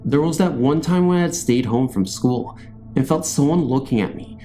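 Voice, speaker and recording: Mellow man narrating ghost stories over music. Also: medium pitch, normal speed. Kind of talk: scripted first-person horror story narration.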